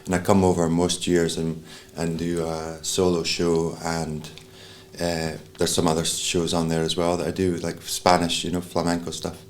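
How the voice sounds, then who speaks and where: A middle-aged Scottish man with a very deep voice talks about his life as a musician. He rambles, restarting his sentences and using plenty of fillers. His accent comes through clearly. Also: monotone, normal speed, slight background noise. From Scotland. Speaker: flamenco guitarist.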